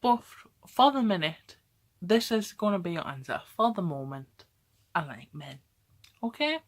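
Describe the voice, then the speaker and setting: A woman's voice: on the low side, very animated, a little slow. A woman, talking casually to camera in a rambling, chatty way, often tagging on "okay?" and laughing. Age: 20s. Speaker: vlogger.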